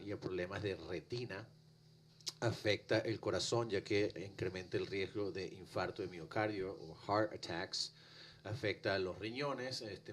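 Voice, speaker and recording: Guest cardiologist from Venezuela, man, low voice, measured delivery, faint hum behind. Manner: calm, measured medical explanation. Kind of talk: explanatory interview answers.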